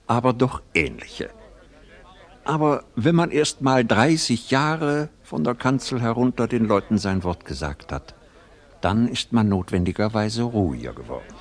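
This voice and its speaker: An actor playing a staid, moralizing older pastor in a radio play, with an unctuous, sermonizing manner. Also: male voice, lowish voice, exaggerated voice. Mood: patronizing calm. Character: staid, moralizing older male village pastor, complacent and preachy.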